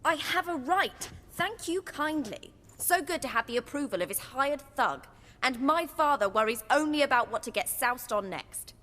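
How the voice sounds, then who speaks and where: A woman's voice: high-pitched, lively intonation, moderate pace, fairly bright tone, long reverb tail. An actress with a British accent playing a bitter, defiant young woman in a dramatic video game. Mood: bitter, sarcastic.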